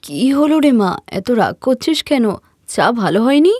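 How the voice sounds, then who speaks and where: A woman's voice: sits high, sing-song, moderate pace, dark tone, dry recording. Woman playing a scolding, fretful mother-in-law. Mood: fretful doting. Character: scolding, doting mother-in-law, quick to blame. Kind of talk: Bengali cartoon story voice acting.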